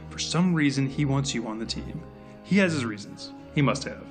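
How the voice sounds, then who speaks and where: A man playing a gruff, by-the-book agent in an audio drama, curt, dry and exasperated. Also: mid-range voice, animated, moderate pace, soft background music, natural voice. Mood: grudging. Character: gruff, weary, by-the-book male agent in charge.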